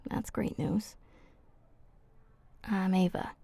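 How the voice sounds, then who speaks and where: A woman voicing a flirty, teasing female vampire in an ASMR roleplay, soft and husky, speaking intimately and haltingly. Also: medium pitch, no room sound, heavily acted. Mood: surprised, pleased.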